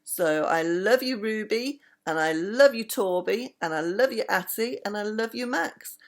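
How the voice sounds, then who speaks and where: An older woman, a grandmother, reading a bedtime story in an animated, affectionate way. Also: mid-range voice, a little slow.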